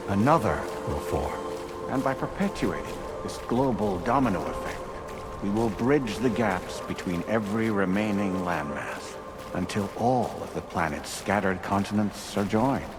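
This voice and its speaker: A man playing a calm, coldly paternal artificial intelligence in a video game, speaking in formal, measured, grandiose speeches over music. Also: fairly low pitch. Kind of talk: cinematic video game voice acting. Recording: music behind the voice.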